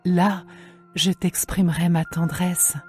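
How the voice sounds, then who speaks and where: A woman playing a tender, devoted young woman in love, in a slow, measured dramatised scripture reading. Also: on the low side, level tone, darkish tone, faint music behind. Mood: tender, longing.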